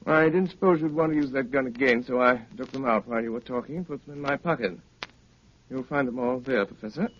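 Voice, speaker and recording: An actor playing an unflappable gentleman detective with a dry, politely ironic manner, in 1930s radio drama style. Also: medium pitch, fast, natural voice. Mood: calm, wry. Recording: muffled, hissy 1930s radio recording.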